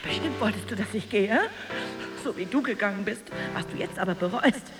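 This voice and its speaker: Actress as a bitter, volatile jealous wife. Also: female voice, medium pitch, a little fast, music in the background, close to an everyday voice. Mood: triumphant scorn. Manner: emotionally volatile, theatrical, swinging between hushed and outburst. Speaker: actress in a radio drama. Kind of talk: dramatic radio play monologue.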